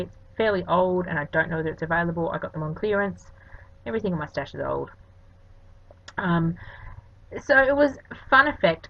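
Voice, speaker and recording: A middle-aged Australian woman with a hoarse, sniffly voice, chatting casually and self-deprecatingly as she talks through a craft project. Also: lowish voice, a bit bright. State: hoarse, sniffly from hay fever. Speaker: art channel host. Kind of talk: casual craft tutorial voice-over. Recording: dull lo-fi home recording.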